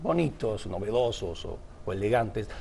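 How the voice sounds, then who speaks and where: A man's voice: fairly low pitch, animated, moderate pace, vocal fry, a little mellow, slight background noise. A man from Cuba, an author and journalist, answering interview questions in a reflective, discursive way.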